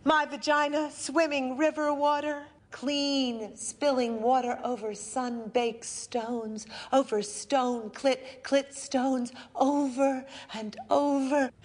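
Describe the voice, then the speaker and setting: A woman voicing a grieving village woman, slow. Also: high voice, fairly even intonation, voice pushed up. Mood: lyrical. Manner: slow, halting, incantatory lines. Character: grieving young village woman, war survivor. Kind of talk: stage monologue.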